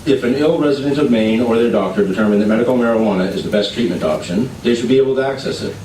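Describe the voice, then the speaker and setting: A man representing an advocacy group, reading prepared testimony in a measured, formal way at a legislative hearing. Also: mid-range voice, a little mellow, a little hiss or hum. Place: legislative committee hearing room. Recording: echoey hearing room, distant mic.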